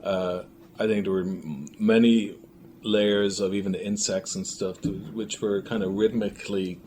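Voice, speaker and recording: A man's voice: lowish voice, fairly even intonation, moderate pace, a little mellow. A man talking casually as an interviewed audio drama creator. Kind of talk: casual chat. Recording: noticeable room background noise.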